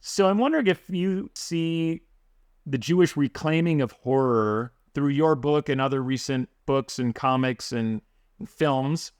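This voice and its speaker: A man's voice: medium pitch, a little slow, a little mellow, clean tone, dry recording. Guy hosting a podcast, medium voice, long rambly questions, dry sound. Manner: conversational, builds long questions. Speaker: podcast host. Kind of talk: interview questions.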